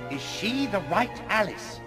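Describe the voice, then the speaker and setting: Nervous fussy rabbit, high jumpy voice, loud music behind. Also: male voice, very animated. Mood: worried. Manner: fretful, hurried, fussy. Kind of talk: game cutscene voice acting.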